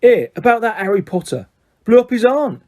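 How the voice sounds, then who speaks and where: An excitable young bus conductor with a raised, mellow voice that chatters on and keeps tossing questions to his driver, put on by a male audiobook reader. His gossip tumbles out eagerly, pitched high, and he keeps his listener hanging on every word. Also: normal speed, lo-fi sound. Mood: excited gossip. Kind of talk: audiobook character voice.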